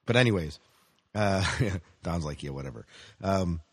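A man with a low, deep voice talks as a podcast host in a casual, rambling style. His delivery is that of an enthusiastic fan, and the sound is dry and close. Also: expressive, a little slow, breathy edge, no echo. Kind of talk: casual chat about sci-fi TV shows.

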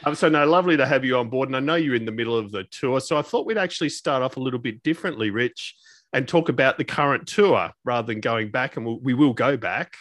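A male podcast host talks in a chatty interview style, feeling his way through his phrasing. His voice sits at an average male pitch and is recorded dry, with very little room around it. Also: quick pace, fairly bright tone, no room sound. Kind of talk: conversational interview.

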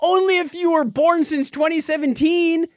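A man's voice: very high voice, fairly even intonation, moderate pace, dry recording, clean studio sound. An American man co-hosting a show, chatting casually through news stories in a chuckly, explanatory way. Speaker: podcast co-host.

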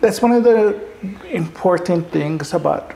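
A woman from Hawaii whose first language is Hawaiian, interviewing in a relaxed, thoughtful way with frequent pauses and fillers. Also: on the low side, gravelly, background noise. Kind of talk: conversational interview.

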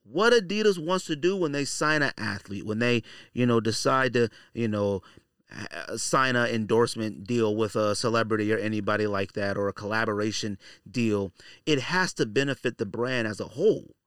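A man's voice: lowish voice, normal speed, a bit bright, clear, resonant tone, no echo, clean studio sound. A man hosting a solo podcast, talking casually and directly to listeners in slangy, opinionated speech, repeating phrases for emphasis. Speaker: podcast host.

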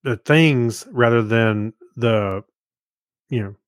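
A man talks casually as the co-host of a podcast. His voice sits at an average male pitch, and the recording is dry, with almost no room sound around it. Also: slow, clear, resonant tone, dry recording. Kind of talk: casual chat.

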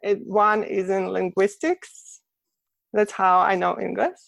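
A Russian-accented woman talking about her work in a relaxed interview, breaking into laughs between phrases. Her voice has a gravelly, creaky texture. The sound is close and dry, with no room around it. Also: medium pitch, slow pace, no room sound, poor audio. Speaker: guest, boudoir photographer. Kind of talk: casual interview chat.

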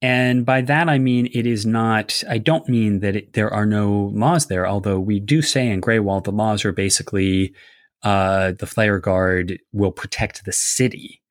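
A man's voice: lowish voice, a little slow, no echo, clean studio sound. A man talking casually about a fantasy setting, explaining ideas at length with many fillers. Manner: rambling, filler-heavy, explanatory. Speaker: game designer discussing a setting. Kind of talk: casual discussion.

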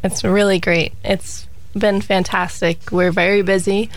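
Gravelly young woman, upbeat. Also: medium pitch, level tone, unhurried. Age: 20s. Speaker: pilot, flight center co-owner. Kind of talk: interview answers.

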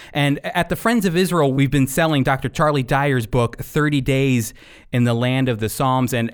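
A man hosting a radio program and teaching the Bible in an emphatic, deliberate way. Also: medium pitch, smooth.

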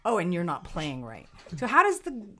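Lowish woman cohost, chatty and thoughtful, tosses out questions. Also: moderate pace. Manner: thoughtful, poses questions, lively emphasis. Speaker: podcast co-host. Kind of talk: casual conversational chat.

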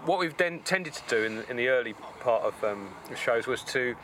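Guy explaining everything carefully, normal pitch, street noise and cars behind. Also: normal speed. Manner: explanatory, detailed, steady. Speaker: tribute band founder. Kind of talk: casual interview chat. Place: outdoors outside a pub. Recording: outdoor street noise with passing traffic.

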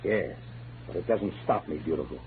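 Smug blackmailer, lively swooping delivery. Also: male voice, low voice, moderate pace, phone-quality sound. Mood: smug, taunting. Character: smug, scheming male blackmailer, tall. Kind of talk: 1940s radio noir acting. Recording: thin, noisy old radio recording.

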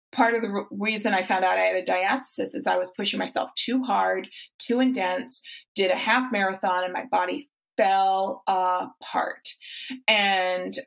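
A woman's voice: medium pitch, vocal fry, poor audio. Encouraging woman podcast host. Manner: warm encouraging coaching talk, frequent restarts. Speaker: podcast host and fitness coach. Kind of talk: casual interview chat.

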